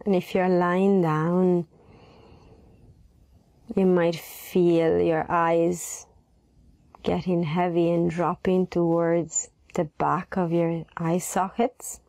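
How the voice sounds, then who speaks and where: A woman leading a guided meditation in a soft, gentle voice, unhurried and pausing between phrases. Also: lowish voice, little pitch movement, creaky, no echo.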